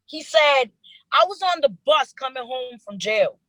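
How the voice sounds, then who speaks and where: A woman's voice: high voice, unhurried, dry recording, low-quality recording. A young woman from New York, a rapper, chatting in an interview and telling stories earnestly with lots of restarts. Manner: earnest, animated storytelling with repeated restarts. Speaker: rapper guest. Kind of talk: casual interview chat.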